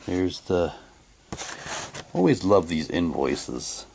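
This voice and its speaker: A man doing a casual unboxing, talking in a halting way and reading packaging text aloud like a hammy radio announcer. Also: deep voice, animated, creaky, darkish tone, a little hiss or hum. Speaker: vlogger unboxing a collectible audio drama CD.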